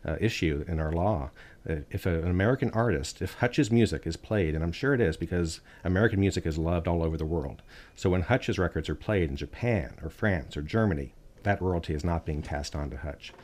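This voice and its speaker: A man with a very deep, low voice speaks as an interview guest. He argues earnestly and with emphasis. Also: a little mellow. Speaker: guest, artists' rights advocate. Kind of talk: interview answers.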